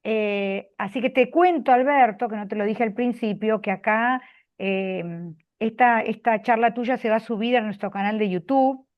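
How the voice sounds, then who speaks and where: A woman's voice: fairly high pitch, normal speed. Chatty Argentine woman on a lo-fi call. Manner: chatty, with frequent filler "eh". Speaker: host. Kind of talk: video call closing remarks. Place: public office. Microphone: video call. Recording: lo-fi video call audio.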